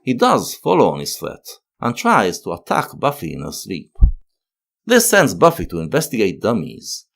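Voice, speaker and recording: A man delivers scripted, analytical narration. His voice sits fairly low, and his intonation swings widely as he goes. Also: wildly swooping pitch, moderate pace, creaky, a bit bright. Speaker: video essay narrator.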